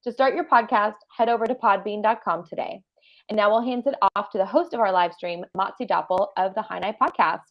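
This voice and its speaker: A woman with a mid-pitched voice reads a scripted promotional introduction as an announcer. The recording is slightly dull and lo-fi. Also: fairly quick.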